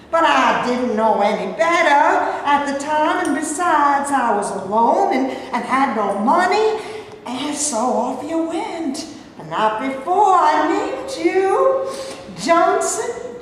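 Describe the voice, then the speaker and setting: A woman's voice: high-pitched, exaggerated voice. Woman playing a brash, domineering mother in an echoey hall. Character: domineering Southern birth mother, brash, proud, self-justifying. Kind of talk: staged reading of a play. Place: live staged reading in reverberant room. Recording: echoey hall with background noise.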